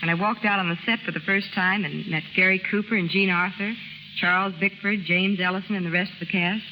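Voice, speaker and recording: A woman's voice: mid-range voice, steady tone, fast, dull audio. A young woman from the US Pacific Northwest, speaking as an actress guest in a scripted 1930s radio interview. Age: young adult. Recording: noisy 1930s radio recording.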